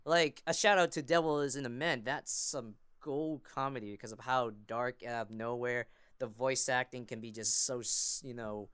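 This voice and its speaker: A man's voice: medium pitch, moderate pace, a bit bright, clean tone, dry recording. A young man chatting casually as a podcast guest, rambling with a fan's enthusiasm. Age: young adult. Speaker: podcast guest, amateur voice actor and fandubber. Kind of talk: casual chat.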